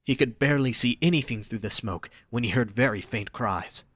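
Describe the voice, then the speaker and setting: A man's voice: mid-range voice, brisk, a bit bright, husky, no room sound. A man narrating a scripted romantic story for an audio drama, reading dramatically.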